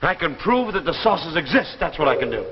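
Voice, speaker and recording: A man's voice: fairly high pitch, sing-song, fast talker. An American man playing an earnest, obsessed grounded Army pilot in 1950s television drama style, insistent and emphatic. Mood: insistent, desperate. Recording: muffled, noisy, echoey 1950s broadcast recording.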